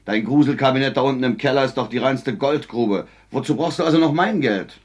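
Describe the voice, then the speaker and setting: An actor playing a shabby, broke tenant and small-time crook in a radio drama, sarcastic and wheedling. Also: male voice, mid-range voice, a little fast, slightly rough, close to an everyday voice. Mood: sarcastic grumbling.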